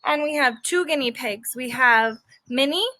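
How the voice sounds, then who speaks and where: High, bright-voiced woman teacher reading aloud. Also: normal speed, no echo. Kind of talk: children's read-aloud with asides to young listeners.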